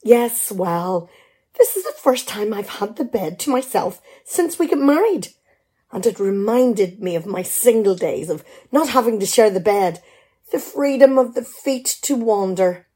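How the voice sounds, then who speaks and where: A woman's voice: medium pitch, expressive, a little slow, mellow, husky. A woman playing an unapologetic, teasing wife at breakfast in a radio play.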